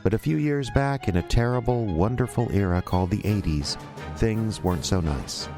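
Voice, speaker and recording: A man's voice: lowish voice, expressive, normal speed. A man acting as a folksy storyteller, narrating an audio drama directly to listeners. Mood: folksy, wistful. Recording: music behind.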